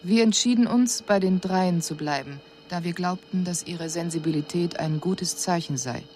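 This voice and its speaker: An actress playing a composed alien envoy who gives a formal, measured, analytical report in a radio drama. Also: female voice, fairly low pitch, a little mellow, slight background noise, close to an everyday voice. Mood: detached, explanatory.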